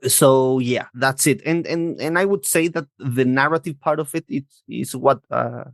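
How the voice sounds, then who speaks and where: A man's voice: medium pitch, normal speed, vocal fry, no echo, studio quality. A man in his thirties from Chile, a native Spanish speaker, chatting as a podcast guest and often searching for words. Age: 30s. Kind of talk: casual interview chat.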